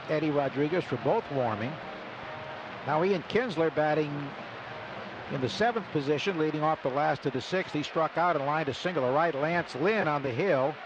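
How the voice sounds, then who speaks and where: A man calling a baseball game play by play on live radio in clipped, deliberate phrases. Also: a little high, muffled recording. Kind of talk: live radio sports commentary with sponsor reads. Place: stadium broadcast booth. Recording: noisy live ballpark radio broadcast.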